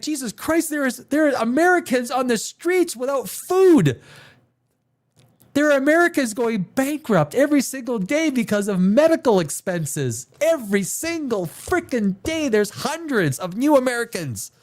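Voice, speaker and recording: A man's voice: very high-pitched, very animated, normal speed. Guy hosting a live chat, blunt, swears a lot, voice jumps all over. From Canada. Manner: blunt and profane, with emphatic repetition. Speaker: livestream host. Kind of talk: opinionated livestream chat.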